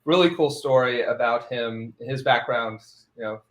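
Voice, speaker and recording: A man's voice: medium pitch, moderate pace. A man hosting a casual tech podcast, rambling and laughing often between phrases. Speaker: podcast host. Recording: roomy echo.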